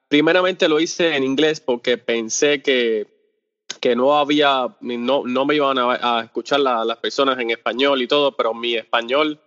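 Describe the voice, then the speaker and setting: A Cuban man answers interview questions in a relaxed, unhurried way, stumbling through fillers and restarting half-finished thoughts. His voice sits in the middle range and carries a long reverb tail. The whole recording sounds dull and lo-fi. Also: mid-range voice. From Cuba. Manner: hesitant, searches for words with many fillers and restarts. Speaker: podcast guest, off-road video creator. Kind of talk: casual interview talk.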